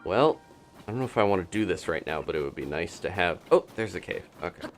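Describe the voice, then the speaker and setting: A man's voice: deep voice, expressive, quick pace, soft background music. A man giving casual live gameplay commentary, playfully exclaiming and talking to himself as he plays. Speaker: video game streamer and host.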